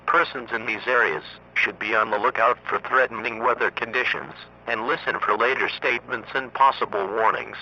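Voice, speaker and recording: A man reading scripted emergency weather warnings in a formal, monotone synthetic voice. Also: medium pitch, fairly quick, few pauses. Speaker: emergency alert announcer. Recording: muffled lo-fi radio broadcast, faint noise.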